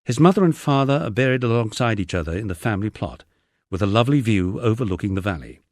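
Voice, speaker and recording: A man's voice: fairly low pitch, lively intonation, fast, darkish tone, no room sound. A middle-aged man with an English accent reading a first-person memoir as a scripted narration. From England.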